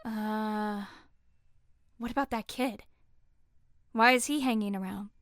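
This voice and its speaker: An actress playing a brisk, candid female police officer in an audio drama. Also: mid-range voice, fairly even intonation, no room sound, studio quality, natural voice. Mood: questioning.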